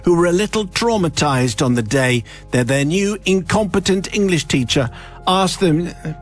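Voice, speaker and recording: A man's voice: high-pitched, lively intonation, moderate pace, faint music behind. A man hosting a radio show, reading out a listener's letter and often laughing as he goes. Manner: reads aloud, often breaks into laughter. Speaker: radio host. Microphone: studio microphone.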